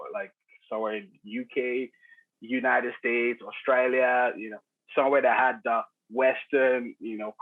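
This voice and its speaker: A man in his thirties from Nigeria, telling his story as a podcast guest with many restarts and fillers and frequent chuckles. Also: medium pitch. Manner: chuckles often, hesitant restarts, many "you know" fillers. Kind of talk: casual conversational storytelling. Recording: tinny phone-like line.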